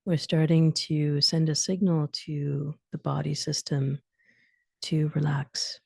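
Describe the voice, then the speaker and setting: Slow, low-pitched woman guiding sleep meditation. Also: little pitch movement, creaky, darkish tone, husky, dry recording, studio quality. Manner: soothing, instructional, short phrases with long pauses. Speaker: yoga and meditation guide.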